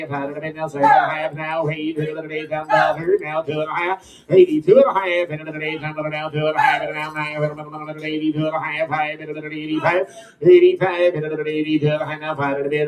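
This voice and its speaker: American guy calling a livestock auction, repetitive rhythmic patter, bit high pitched, echoey pa. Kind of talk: rapid auction chant mixed with spoken sales pitches. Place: indoor livestock auction ring.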